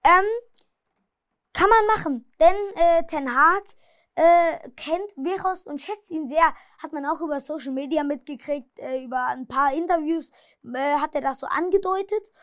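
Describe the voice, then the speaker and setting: A woman's voice: high voice, a little slow, a bit bright, no room sound, clean studio sound. A woman casually recapping football matches and transfer rumours, hesitating a lot and apologizing for her pronunciation. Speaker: football news vlogger.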